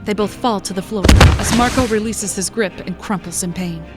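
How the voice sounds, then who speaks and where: Woman, dramatic scripted narration, mid-pitched, fantasy story narrator, music clearly behind. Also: moderate pace.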